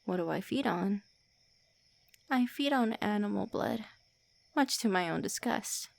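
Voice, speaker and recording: A woman playing a gravelly-voiced prickly vampire. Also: medium pitch, expressive, a little slow. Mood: melancholy. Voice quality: soft, often whispered. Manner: tsundere, sharp then wistful. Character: prickly lonely female vampire, centuries old. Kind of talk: ASMR roleplay.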